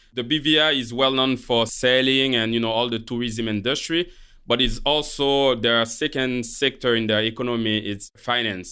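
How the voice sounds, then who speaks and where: A man from Haiti with a Haitian French accent, calmly explaining his career as a guest on a finance podcast. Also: medium pitch, clean tone. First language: Haitian Creole. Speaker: guest, portfolio manager. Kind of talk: podcast interview.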